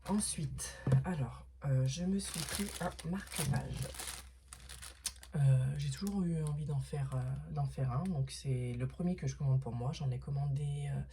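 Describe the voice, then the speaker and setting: Middle-aged woman, hoarse and mellow, hesitant casual vlogger, occasional whispers. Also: rumbling low, a little slow, a little hiss or hum. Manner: hesitant, many fillers and restarts; drops to a whisper at times. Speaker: vlogger unboxing craft supplies. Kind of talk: casual unboxing talk.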